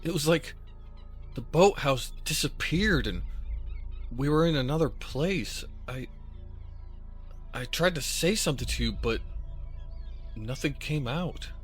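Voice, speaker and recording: A man's voice: fairly high pitch, expressive, a little slow, long pauses, soft background music. A man acting in an audio drama as a wry, easily baffled buddy with a casual manner. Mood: bewildered.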